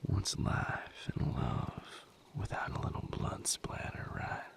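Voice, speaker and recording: A man playing a wry, tender vampire boyfriend in an intimate audio roleplay, with a breathy, gravelly voice and a folksy, unhurried, softly teasing way of talking. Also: whispered, lightly acted. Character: wry, tender male vampire boyfriend tending injured partner.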